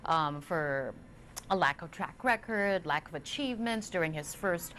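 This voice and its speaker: A woman's voice: lowish voice, animated, a little slow, a bit bright. Female TV interviewer, hesitant and filler-heavy, faint background noise. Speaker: news anchor.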